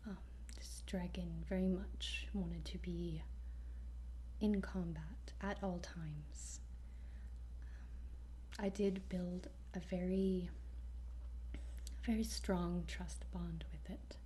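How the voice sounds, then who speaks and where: Slow whispering woman in ASMR roleplay. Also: lowish voice, fairly even intonation, slight background noise, rough recording. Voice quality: soft, breathy whisper. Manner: hesitant, pausing between short phrases. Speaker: ASMR roleplayer playing a dragon training guide.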